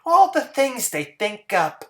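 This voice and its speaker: A man performs a simple, cheerful wife from a dramatic short story. The voice is lifted high and drawls along in a folksy, doting way, slow and swinging up and down. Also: huge pitch swings, heavily acted. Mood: eager, musing.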